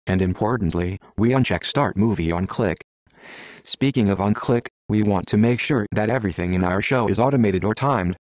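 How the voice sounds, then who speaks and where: A man walks through a software tutorial one step at a time, in a methodical, explaining manner. His voice sits on the low side. The recording is close and dry, with no room sound. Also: no echo. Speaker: instructor.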